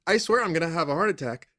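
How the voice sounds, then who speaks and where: Frightened, exasperated investigator. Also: male voice, high voice, pushed and bright, exaggerated voice. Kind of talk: audio drama acting.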